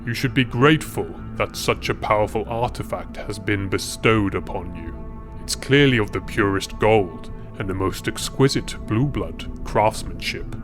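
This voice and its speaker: Man playing a low-voiced, smug, menacing wizard. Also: normal speed, music in the background, close to an everyday voice. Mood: smug, amused. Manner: mocking, savouring his words. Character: smug, menacing aristocratic male wizard, mockingly amused.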